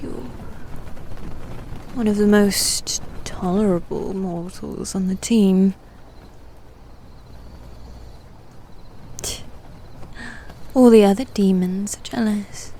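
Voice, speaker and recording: Slow, hushed, proud demon knight woman acting. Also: mid-range voice, a little hiss or hum, lightly acted. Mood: haughty, teasing. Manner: hushed, breathy, haughty, halting. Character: proud, guarded female demon knight, teasing and secretly fond. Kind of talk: ASMR roleplay, intimate half-whispered acting.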